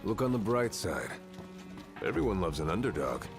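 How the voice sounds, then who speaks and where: An actor playing a seasoned middle-aged warrior who speaks calmly and drily. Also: male voice, deep voice, normal speed, over music. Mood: wry. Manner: dry, calm and reflective.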